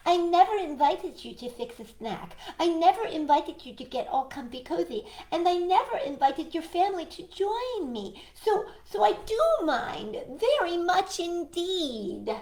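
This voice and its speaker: A woman voices a polite, put-upon male rabbit from a storybook. He is high-pitched and fretful, drawing words out hesitantly in an echoey room. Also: sing-song, a little slow, hardly any pauses, a little mellow, exaggerated voice. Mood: exasperated, firm.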